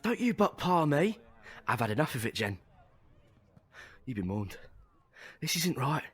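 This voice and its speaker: A husky, middle-aged father running a Victorian circus acted by a man. He is stern, fed up and blunt, his informal scolding rising and falling widely, in audio drama style. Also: on the high side, sing-song, a little slow, fairly bright tone, no echo, heavily acted. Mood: angry, scolding.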